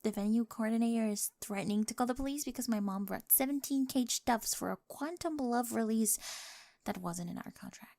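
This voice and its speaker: Woman acting nerdy, obsessive young bride, high soft voice. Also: a little fast, vocal fry, no room sound. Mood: earnest, affectionate. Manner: precise, analytical, jargon-heavy speech. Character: quirky, obsessive, nerdy young bride devoted to her partner. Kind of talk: ASMR roleplay, soft-spoken and often whispered. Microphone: close, dry microphone.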